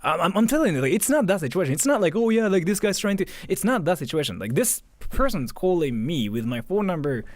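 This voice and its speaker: A man's voice: sits high, sing-song, fast talker, hardly any pauses, dry recording. A man telling a story casually on a livestream, swearing and acting out both sides of conversations. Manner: frequent "like" and repeated phrases.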